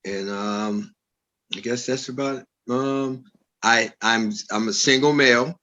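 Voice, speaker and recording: A man's voice: mid-range voice, measured pace, pushed and bright, highs cut off. A man in his late fifties talking casually as a co-host on a panel. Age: 50s.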